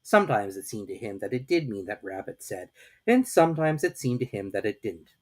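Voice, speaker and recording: A woman reading a children's story as a dramatic narrator, expressive and measured. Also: very deep voice.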